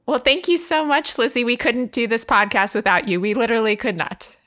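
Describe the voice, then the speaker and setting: A woman's voice: on the high side, a little fast, a bit bright. A woman hosting a podcast, moving between scripted introduction and friendly discussion. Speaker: podcast host.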